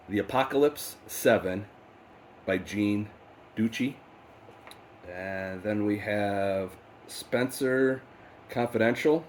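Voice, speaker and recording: A man with a stuffy head cold, talking casually and unhurriedly through a pile of books, with many fillers. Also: lowish voice. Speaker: book channel host. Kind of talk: casual unboxing talk. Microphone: off-camera voice, faceless video.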